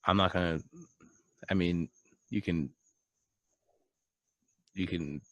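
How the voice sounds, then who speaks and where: A man's voice: low voice, fairly even intonation, slow, dry recording, muffled recording. A man co-hosting a beer podcast, chatting casually and joking with lots of fillers. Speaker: podcast co-host. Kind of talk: casual chat.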